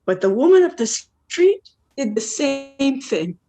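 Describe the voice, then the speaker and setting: Woman acting a slow-talking, weary, worldly wife. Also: sits high, sing-song, dark tone, full character voice. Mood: bitter, reflective. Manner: deliberate, wistful, blunt. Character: weary, worldly wife and mother escaping to fish. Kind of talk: dramatic monologue in a stage play.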